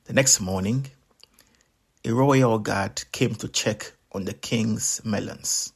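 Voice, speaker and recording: A man reading a children's story aloud as a storyteller, with expressive, deliberate and dramatic delivery. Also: lowish voice, gravelly, husky.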